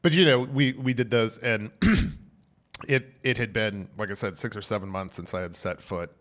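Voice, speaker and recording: Deep-voiced man chatting loosely. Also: moderate pace, fairly bright tone. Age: 40s. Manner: rambling, laughs often, many fillers. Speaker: guest comedian. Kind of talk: casual podcast chat.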